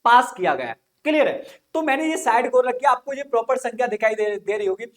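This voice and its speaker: A man teaching an informal online exam-coaching lesson, explaining things repetitively and often checking that listeners follow. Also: extremely high pitch. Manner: repetitive, frequent check-in questions.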